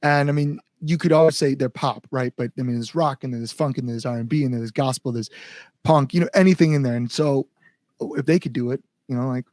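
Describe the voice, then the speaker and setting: A man's voice: mid-range voice, fairly quick, darkish tone, no room sound. A man chatting casually as a musician guest, rambling through stories with lots of likes and acted-out asides. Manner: rambling storyteller, frequent likes, acts out inner thoughts. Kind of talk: casual podcast chat.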